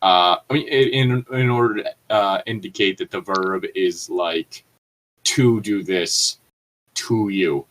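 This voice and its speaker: A man's voice: deep voice, slow, a bit bright, rough recording. A man co-hosting a comedic reading, chatting casually with rambling explanations and some mild swearing. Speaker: co-host reading and riffing on fan fiction.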